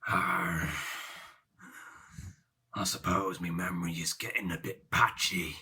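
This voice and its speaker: A man's voice: low voice, little pitch movement, pushed and bright, husky, exaggerated voice. An actor playing an aging, boastful pirate captain who tells his tales in a grave, theatrical, rambling way for an audio drama. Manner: theatrical, deliberate, archaic seafaring speech.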